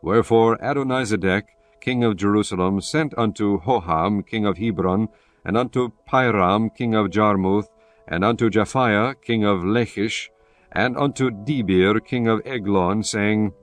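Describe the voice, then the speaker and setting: Low-voiced solemn male narrator. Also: unhurried. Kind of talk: scripted scripture narration.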